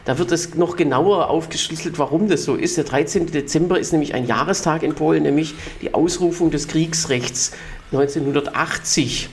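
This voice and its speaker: A man's voice: on the high side, moderate pace, slight background noise. A man from Germany co-hosting a political podcast, explaining news at length with many fillers and restarts. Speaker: podcast co-host. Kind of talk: casual political chat.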